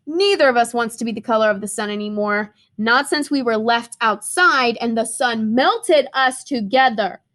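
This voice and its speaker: A woman's voice: a little high, moderate pace, hardly any pauses, a bit bright, close to an everyday voice. A woman voicing a sheepish pair of melted storybook crayons, emphatic and plaintive. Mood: sheepish, pleading.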